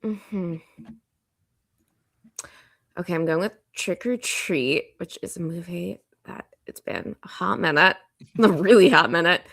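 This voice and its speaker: A woman's voice: on the low side, a little slow, vocal fry, pauses often, fairly bright tone. A woman chatting informally as a guest and contestant on a podcast game. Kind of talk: casual chat.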